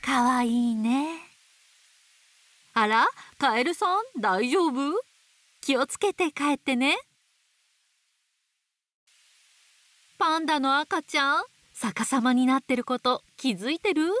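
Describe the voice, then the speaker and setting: A woman playing a gentle, motherly owl teacher who reads picture books to toddlers with playful sound words. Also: high voice, unhurried, no room sound. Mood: gentle, teasing. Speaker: picture book storyteller for babies. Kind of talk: picture book read-aloud for toddlers. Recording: faint background noise of nature. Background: nature sounds.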